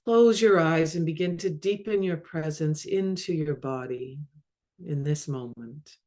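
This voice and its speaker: A woman leading a guided meditation as a mindfulness coach, speaking calmly and measuredly in short phrases with long pauses. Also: deep voice. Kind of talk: guided body scan meditation.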